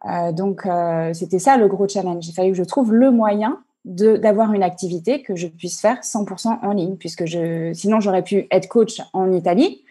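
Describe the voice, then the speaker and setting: Woman, husky, mellow dark tone, hesitant, many fillers, video call interview. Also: mid-range voice. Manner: halting, many fillers and restarts. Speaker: online coach and entrepreneur.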